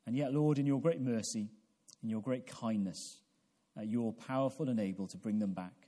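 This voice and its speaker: Mellow-voiced male preacher in his forties. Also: medium pitch. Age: 40s. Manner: deliberate, halting delivery with repeated phrases and rhetorical questions. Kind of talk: evangelical sermon with prayer.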